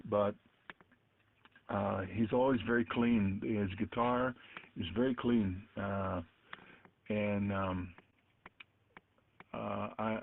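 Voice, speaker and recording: An older man, in his sixties or beyond, chatting casually over two-way radio in a halting, reflective way. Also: fairly low pitch. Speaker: amateur radio operator in an on-air conversation. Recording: narrow phone-like radio audio, clear noise.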